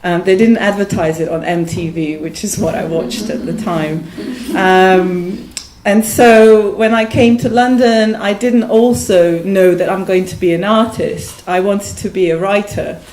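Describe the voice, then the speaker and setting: A woman's voice: medium pitch, steady tone, measured pace, darkish tone. Hesitant woman from Bosnia, roomy gallery. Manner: many ums, plain and personal. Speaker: artist speaking at a panel. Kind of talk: hesitant panel talk. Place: gallery room. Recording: roomy gallery with faint noise.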